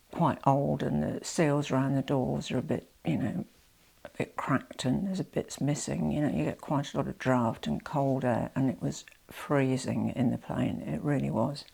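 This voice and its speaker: A woman's voice: rumbling low, creaky, slightly rough. A woman chatting through a handbag collection vlog while nursing a head cold, sounding nasal and rambling with lots of asides. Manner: rambling, frequent "you know" asides, drops to soft near-whispers. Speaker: vlogger showing her handbag collection.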